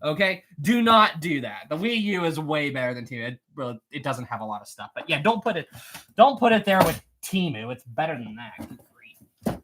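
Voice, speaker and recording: Playful, animated man in his thirties. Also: fairly high pitch, brisk. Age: 30s. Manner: playful and teasing, laughs often; clears throat often. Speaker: live streamer. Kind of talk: casual livestream chat reading comments.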